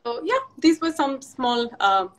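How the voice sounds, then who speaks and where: Woman, 30s, high-ish voice; chatty guest on a video call, laughs often, restarts lines. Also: expressive, a little slow, a bit bright. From Pakistan. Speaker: interview guest, fashion designer. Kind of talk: casual video call interview.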